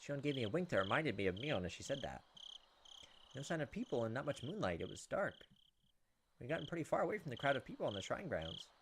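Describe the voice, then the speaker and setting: A man's voice: medium pitch, very animated, quick pace, background noise. A man reading a visual novel aloud as a let's play host, with casual, crude joking asides.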